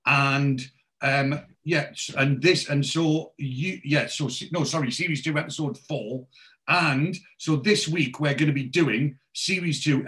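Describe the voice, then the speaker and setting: A man's voice: mid-range voice, fairly bright tone. A man in his forties with a Geordie accent, hosting a podcast interview and rambling through many restarts and fillers. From North East England. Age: 40s. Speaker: podcast host. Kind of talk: casual interview.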